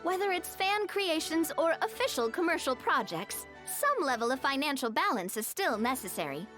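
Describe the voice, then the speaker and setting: A young noblewoman from a fantasy game, cheerful and poised. Her voice is high and swings melodically as she explains in polished, articulate sentences. Music plays behind her. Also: very animated, moderate pace, a bit bright. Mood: businesslike, upbeat. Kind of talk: video game story dialogue acting. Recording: studio voice over game music.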